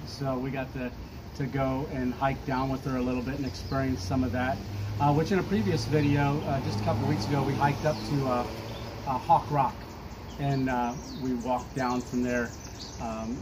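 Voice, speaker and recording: A man's voice: medium pitch, noisy background. A man in his fifties hosting a casual outdoor interview, talking in a rambling way with many fillers and laughs. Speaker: vlog host. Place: outdoors in front of a shop on a street.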